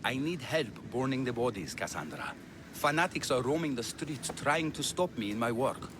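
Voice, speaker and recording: A man's voice: mid-range voice, expressive, moderate pace, noisy background, lightly acted. A man playing an earnest, exasperated ancient Greek healer in a video game, speaking with indignant emphasis. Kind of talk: video game cutscene acting.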